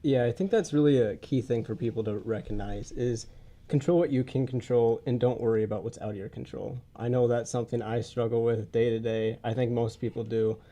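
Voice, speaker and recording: Young man, podcast host, congested head-cold sound, mellow tone, informal rambling delivery. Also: medium pitch, fairly even intonation, brisk. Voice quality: congested, stuffy nose. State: getting over a head cold. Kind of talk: casual podcast introduction and interview. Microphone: podcast studio mic, wearing face mask or shield.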